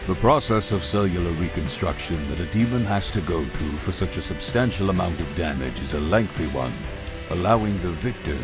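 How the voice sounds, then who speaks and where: A solemn lore narrator for a video game, played by a man, measured and formal. The voice is deep with expressive swings, over guitar music. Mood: solemn, explanatory. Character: solemn male narrator explaining demon lore.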